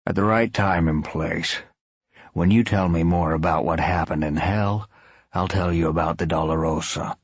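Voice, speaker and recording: A man playing a solemn, guarded, bookish man with a measured delivery in an audiobook. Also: sits low, steady tone, breathy edge, dry recording.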